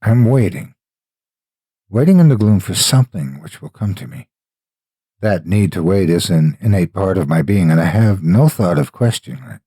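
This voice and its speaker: A man voicing a dead, educated vagrant in a horror story, speaking slowly and haltingly from beyond. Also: low voice, animated, dark tone, slightly rough, no room sound, studio quality, exaggerated voice. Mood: gloomy, dazed. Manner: slow, halting, hollow.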